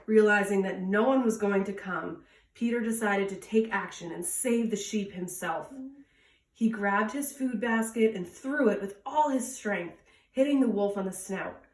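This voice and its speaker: A woman reads a children's fable aloud in a storytelling style. Her voice sits at a middle pitch. She is recorded in a roomy home space, a bit far from the microphone. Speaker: storytime reader. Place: indoors at home. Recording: roomy echo, distant from mic.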